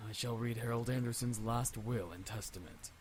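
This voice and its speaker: An actor playing a composed, formal family lawyer in a visual novel game. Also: male voice, medium pitch, lightly acted. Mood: solemn. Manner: formal, measured.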